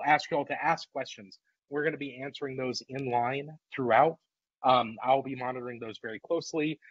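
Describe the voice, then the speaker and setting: A man's voice: medium pitch, fairly bright tone, slightly rough, no room sound. Congested man presenting on a video call. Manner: casual and conversational, with frequent fillers and repeated words. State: congested from a recent illness. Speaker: workshop presenter. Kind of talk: live webinar presentation. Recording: muffled video call audio.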